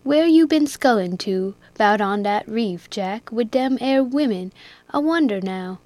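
A woman's voice: on the high side, lively intonation, unhurried, darkish tone, dry recording. A woman reading aloud, voicing a good-natured male ship's steward with a heavy rustic dialect and a drawling, moralizing manner. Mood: folksy.

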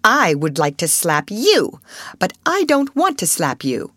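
A woman's voice: medium pitch, very animated, moderate pace, no room sound, voice pushed up. A woman voicing a kind, motherly schoolgirl who is self-controlled and earnest, in a storybook reading. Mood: restrained anger. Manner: earnest, coaxing.

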